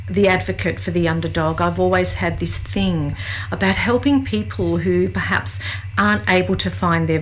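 A woman's voice: lowish voice, slight background noise, rough recording. A woman, a passionate, effusive guest joining a radio interview remotely. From Greece. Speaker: guest, leadership publisher.

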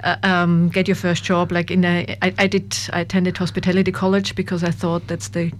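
Soft-spoken Austrian woman guest. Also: lowish voice, little pitch movement, fairly quick, slight background noise. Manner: soft-spoken, laughs often, hesitant with many ums. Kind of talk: interview conversation.

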